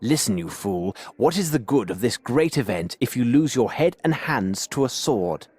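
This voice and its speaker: An actor playing a shrewd, authoritative Victorian gentleman detective in a video game. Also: mid-range voice, expressive, normal speed, slight background noise, lightly acted. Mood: stern, impatient.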